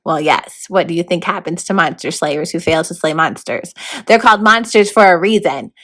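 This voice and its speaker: A woman's voice: on the low side, normal speed, a bit bright, voice pushed down. A woman voicing a formal, fussy, stern male horned toad mentor in a storybook read-aloud. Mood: grave. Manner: proper, grandly worded, matter-of-fact.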